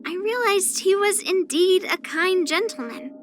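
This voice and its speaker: A woman's voice: extremely high pitch, unhurried. A woman voicing a spirited, proud young swordswoman in an anime-style video game, speaking in an earnest, blunt, slightly pouty way. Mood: matter-of-fact, confident.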